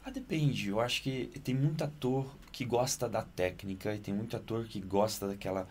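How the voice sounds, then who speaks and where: Male actor guest from Naples, lowish voice, animated interview talk, faint room ambience behind. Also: moderate pace, a little hiss or hum. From Naples, Italy. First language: Italian. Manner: animated, rises in pitch when excited. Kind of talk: interview conversation.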